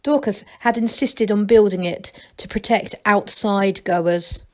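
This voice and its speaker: A woman reading a children's story aloud as a teacher would, in expressive narration. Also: mid-range voice, unhurried.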